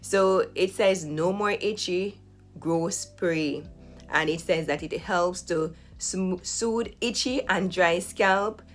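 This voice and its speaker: A woman recovering from a flu, her voice slightly hoarse, chatting through a hair care routine step by step to camera at home. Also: on the low side, slow. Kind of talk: casual tutorial talk to camera.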